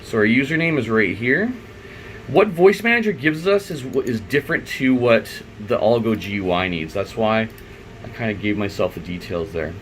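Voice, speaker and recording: A man's voice: fairly low pitch, lively intonation, normal speed. Man talking through steps, faint background hum. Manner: informal, thinking aloud. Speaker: IT installer giving a software tutorial. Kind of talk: unscripted step-by-step screen walkthrough.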